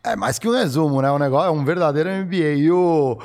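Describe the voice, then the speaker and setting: A man co-hosting a podcast, chatting in a relaxed, agreeable and informal way. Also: a little high, fast talker. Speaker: podcast co-host. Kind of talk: casual podcast chat.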